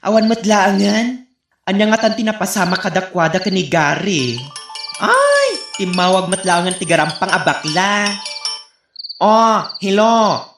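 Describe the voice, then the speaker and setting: A woman's voice: mid-range voice, sing-song, a little slow, over music. A woman playing a brassy, nosy friend, echoey. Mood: nosy, mocking. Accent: Ilocano Filipino. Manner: blunt, teasing questioning. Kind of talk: Ilocano radio comedy drama acting.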